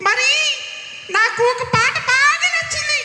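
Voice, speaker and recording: A performer voicing a cheeky, chatty little girl puppet in a squeaky cartoon child voice, playful and sing-song, for a children's show. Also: measured pace, crowd noise. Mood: chirpy, chatty. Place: large church hall before an audience of children. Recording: echoey hall public address, music and audience.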